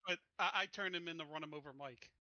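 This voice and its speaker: A man chatting casually and excitably as a player in a tabletop roleplaying game. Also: on the high side, fast, dry recording, dull audio.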